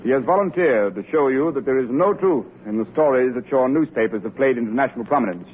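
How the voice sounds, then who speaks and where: An actor playing a formal, businesslike museum director in a declamatory old radio drama style. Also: male voice, mid-range voice, animated, fast talker, slightly rough. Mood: formal, confident. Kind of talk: 1940s radio drama acting. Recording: tinny noisy old radio recording.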